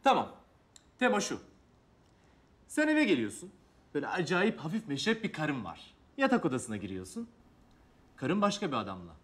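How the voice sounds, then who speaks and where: Casting: demanding director type, high voice with lively swings, brisk TV comedy delivery. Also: male voice. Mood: businesslike. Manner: directive, prodding. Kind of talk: naturalistic sitcom acting.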